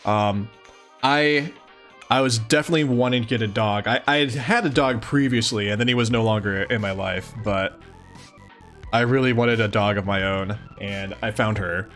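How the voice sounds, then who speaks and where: A man's voice: lowish voice, a bit bright. A man hosting a livestream, chatting casually and affectionately with his viewers. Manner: affectionate, cooing to a pet. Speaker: livestream host.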